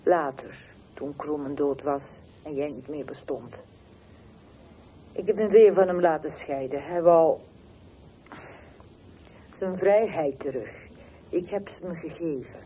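Bittere, gekwetste vrouw, zachte donkere klank. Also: female voice, sits low, mellow. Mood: cold, guarded. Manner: terse, reproachful, deliberate pauses. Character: bitter, wounded middle-aged woman on the telephone. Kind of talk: radio play dialogue. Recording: noisy old radio drama recording.

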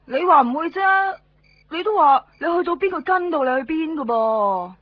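Very high-voiced, whiny young woman. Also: expressive, normal speed. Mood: impatient. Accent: Hong Kong Cantonese. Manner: whining, nagging. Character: fed-up, complaining young girlfriend stuck in hiding. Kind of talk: 1990s Cantonese radio drama acting. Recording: muffled 1990s radio drama over music.

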